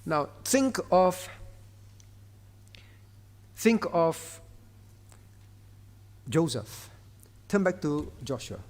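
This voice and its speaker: A man's voice: fairly high pitch, big pitch swings, very slow, long pauses, a little mellow. A male pastor, preaching a sermon in a conversational way and often turning to the congregation. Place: church hall. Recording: reverberant church hall.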